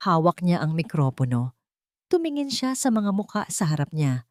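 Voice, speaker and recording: A woman reads a scripted dramatic story in a low voice. Her intonation moves widely, with a solemn, sentimental storytelling feel. The recording is dry and close. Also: big pitch swings, normal speed, no room sound. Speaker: audio-drama narrator.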